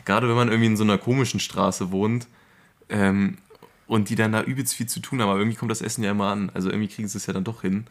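A man's voice: lowish voice, steady tone, very fast. A young man hosting a podcast in casual, slangy, colloquial chat among friends. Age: young adult. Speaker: podcast host.

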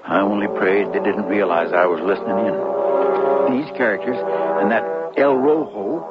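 An actor playing an earnest, excitable CB radio hobbyist who tells his story urgently, stammering, in 1970s radio drama style. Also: on the low side, moderate pace, close to an everyday voice. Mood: alarmed. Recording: dull lo-fi radio broadcast with music.

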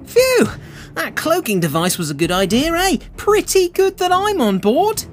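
A storyteller voicing a boastful, gleeful male space pilot in a high, excited voice. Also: measured pace, heavily acted. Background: background music.

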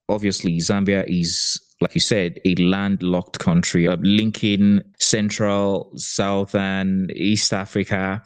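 A man hosting a podcast interview, deliberate and curious in a conversational style. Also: low-pitched.

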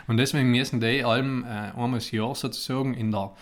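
A man's voice: mid-range voice, fairly even intonation, quick pace. A man co-hosting a podcast, telling stories in casual, colloquial dialect. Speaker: podcast co-host. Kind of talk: casual banter and storytelling.

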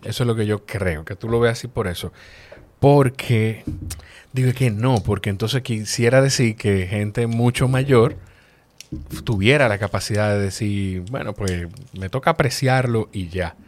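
A man hosting a casual interview, relaxed and leaning on short replies. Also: on the low side, unhurried. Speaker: podcast host.

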